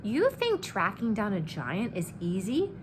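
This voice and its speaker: Woman reader as a brash male giant killer from a children's book, breezy and swaggering, swooping pitch, noisy room. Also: huge pitch swings, a little slow. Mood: cocky, instructive. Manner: boastful, breezy, persuasive. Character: cocky, greedy, scheming man, a storybook giant killer. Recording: clear background noise.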